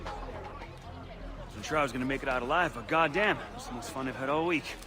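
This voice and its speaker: An actor playing an excitable, rough-spoken townsman in a video game, with folksy, colloquial phrasing. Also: medium pitch, normal speed, natural voice. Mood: pleased. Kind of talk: animated game character acting.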